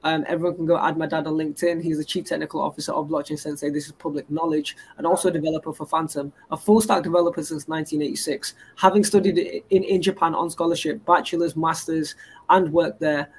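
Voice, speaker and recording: Boastful young man, higher voice. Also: level tone, fast talker, slightly rough. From England. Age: 20s. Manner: boastful and rambling, stacks up credentials. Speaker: guest entrepreneur. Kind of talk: casual interview talk.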